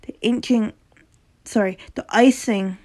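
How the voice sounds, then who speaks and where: A woman with a sore throat and a slight rasp, casually reading a horror story aloud, often stumbling over words and correcting herself. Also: fairly high pitch, steady tone, measured pace, dark tone. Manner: reacts casually between passages.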